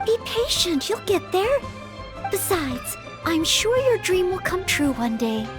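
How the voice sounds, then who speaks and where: Very high-voiced, polite young maid. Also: female voice, sing-song, takes it slowly, music in the background, exaggerated voice. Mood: gentle, encouraging. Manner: earnest, courteous, sweet. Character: polite, eager, gentle young maid of a knightly order. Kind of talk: video game voice acting.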